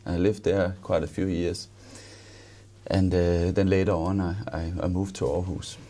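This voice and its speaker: A Danish man with a Danish accent, introducing himself as an interview guest in a conversational, halting way, often repeating words. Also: low-pitched, level tone, mellow. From Denmark. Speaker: interview guest, author and engineer.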